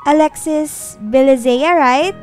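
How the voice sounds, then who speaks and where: A woman's voice: high voice, slow pace, darkish tone, soft background music. A woman playing a sweet, friendly, bubbly college student in a radio drama, speaking in a cheerful, eager way. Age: young adult. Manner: cheerful, eager greeting style.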